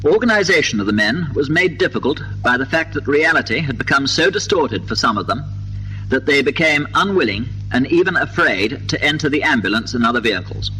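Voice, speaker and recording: A British man delivering formal, precise, measured scripted narration for a 1950s documentary, on an old film soundtrack. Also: medium pitch, expressive, pushed and bright, faint music behind, low-quality recording.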